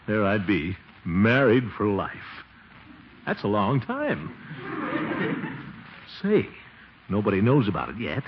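An actor playing a flustered, scheming middle-aged bridegroom-to-be in 1940s radio comedy, blustery, stammering and chuckling nervously. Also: male voice, fairly low pitch, big pitch swings, slow pace, pauses often, full character voice. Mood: sly musing. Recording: muffled, noisy old 1940s radio recording.

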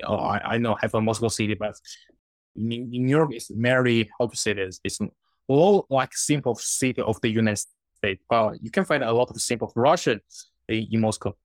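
Young Chinese-native man, halting and searching for words, dry close sound. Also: medium pitch, dry recording. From China. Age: 20s. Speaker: guest. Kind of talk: interview answers.

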